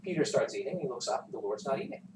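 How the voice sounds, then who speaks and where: A man tells a folktale to a class with very varied, animated intonation. His delivery is informal and conversational, with asides. The recording is echoey and has clear background noise. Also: medium pitch, rapid-fire, far from the mic. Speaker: teacher telling a folktale to a class. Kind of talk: informal oral storytelling. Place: classroom, recorded from some distance.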